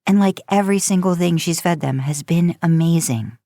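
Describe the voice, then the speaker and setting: A woman's voice: lowish voice, normal speed, mellow, no room sound, studio quality. A woman narrating a written story in the manner of an audiobook, reading from a script. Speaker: podcast host and fan fiction narrator.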